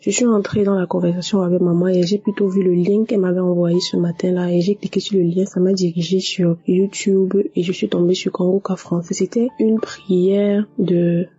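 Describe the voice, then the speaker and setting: A woman's voice: lowish voice, little pitch movement, normal speed, few pauses, warm tone, husky, highs cut off, soft background music. A woman giving an earnest church testimony, repeating words for emphasis. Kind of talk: personal testimony.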